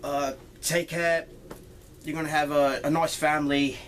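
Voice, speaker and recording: A crude, scolding visitor from the future, as a man puts him on, with a put-on accent and constant swearing. The voice sits a bit higher than usual, and he drags his words out slowly. A faint hiss runs under him. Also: flat delivery, fairly bright tone. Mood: dismissive. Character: brash foul-mouthed man, future self from 2049.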